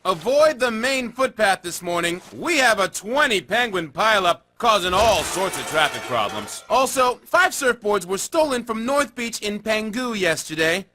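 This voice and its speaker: A man's voice: high-pitched, big pitch swings, moderate pace, hardly any pauses, pushed and bright, a little hiss or hum, full character voice. A man voicing a wry, chatty male penguin TV sports reporter in a game cutscene, with music behind. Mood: newsy. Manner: wry, upbeat newscaster banter. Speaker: news anchor. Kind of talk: game cutscene broadcast patter.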